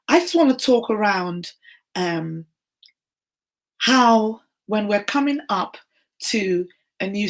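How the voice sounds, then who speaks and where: Hoarse woman encouraging viewers. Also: lowish voice. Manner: warm encouraging, greets viewers by name. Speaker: livestream host. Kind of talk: casual encouraging livestream chat.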